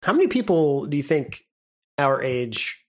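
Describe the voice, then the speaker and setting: A young man co-hosting a podcast in casual chat, relaxed and rambling, laughing often. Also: a little high, measured pace. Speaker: podcast co-host.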